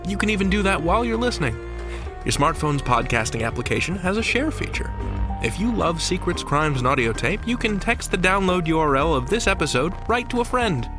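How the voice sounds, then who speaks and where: A man hosting a podcast, reading scripted narration with a theatrical storytelling manner. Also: on the high side. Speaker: podcast host.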